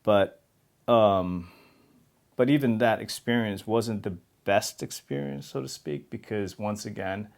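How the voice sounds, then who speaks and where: Interview guest: New man, low gravelly voice, candid and easygoing, laughs through anecdotes. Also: unhurried, darkish tone. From New York City. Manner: candid, laughs while telling stories. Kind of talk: casual interview conversation.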